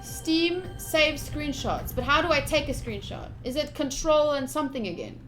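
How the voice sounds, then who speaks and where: A woman livestreaming a visual novel playthrough, reading the text aloud with excitable, playfully dramatic and casual commentary. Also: sits high, normal speed, faint music behind. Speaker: streamer playing a visual novel.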